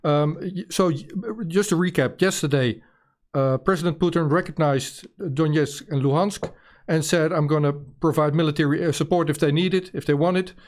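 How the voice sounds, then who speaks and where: A man's voice: a little high, fairly even intonation, moderate pace, darkish tone. A man interviewing a guest, recapping the news and reading statements aloud. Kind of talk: interview questions.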